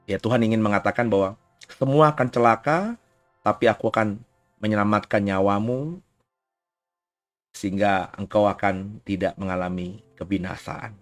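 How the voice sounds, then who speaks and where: A man's voice: on the low side, moderate pace, long pauses, dry recording. A male pastor speaks. He preaches conversationally, full of small tags and asides, and is getting over a cough. Faint music plays underneath his voice. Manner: informal, frequent "ya" tags and asides. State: recovering from a cough. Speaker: pastor giving a recorded sermon. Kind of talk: conversational sermon.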